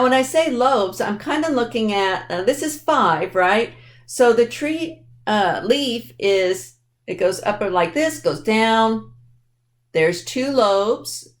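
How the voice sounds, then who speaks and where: A woman presenting a children's library storytime, explaining things simply and addressing young listeners directly as she reads aloud. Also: fairly high pitch. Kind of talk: reading a picture book aloud to children.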